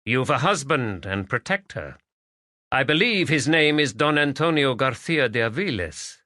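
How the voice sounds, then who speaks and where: A man playing a composed, gallant English gentleman detective, firm, measured and courteous. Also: mid-range voice, expressive, dry recording, studio quality, voice pushed up. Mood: probing. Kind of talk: audiobook character voice.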